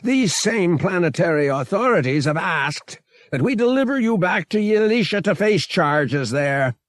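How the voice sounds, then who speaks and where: Man playing a stern, high-pitched commanding warship captain. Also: big pitch swings, unhurried, dry recording, studio quality. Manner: formal, inexorable, commanding.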